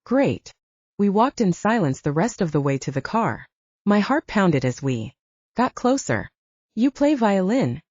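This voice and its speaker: A woman's voice: fairly low pitch, sing-song, normal speed, no echo, highs cut off. A woman narrating first-person fiction as a scripted audiobook, recorded close in a dry space.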